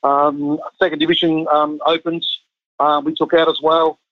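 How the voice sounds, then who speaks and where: A man's voice: fairly high pitch, level tone, normal speed, dry recording. A man in his fifties, born in Chile, who coaches a national team, talking casually in an interview with many ums and restarts. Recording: muffled lo-fi dry sound.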